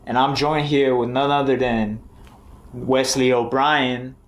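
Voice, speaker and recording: A man's voice: mid-range voice, little pitch movement, slow. A man with an American accent hosting a podcast from a house, talking in loose, profane banter. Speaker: podcast host. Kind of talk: casual chat. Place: grandparents' house, indoors.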